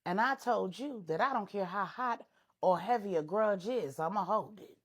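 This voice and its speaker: A woman's voice: medium pitch, normal speed, breathy edge, voice pushed up, close to an everyday voice. A woman voicing a proud, stubborn matriarch who is defiant and dryly sarcastic, in a told story. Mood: indignant. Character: proud, stubborn, grudge-holding matriarch, the Queen Mother. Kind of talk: audio storytelling dialogue.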